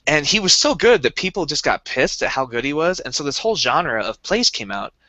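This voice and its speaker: Bright-voiced young man chatting eagerly. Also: fairly high pitch, big pitch swings, fairly quick, no room sound, lo-fi sound. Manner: rambling, eager explainer with many restarts. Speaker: podcast co-host. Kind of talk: casual chat.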